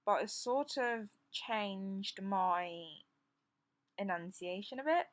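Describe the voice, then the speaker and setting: Halting Singaporean woman vlogging. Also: mid-range voice, animated. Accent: Singaporean English, softened by years in the UK. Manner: halting, with frequent restarts and stammers. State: recovering from jaw surgery. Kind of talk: impromptu personal vlog.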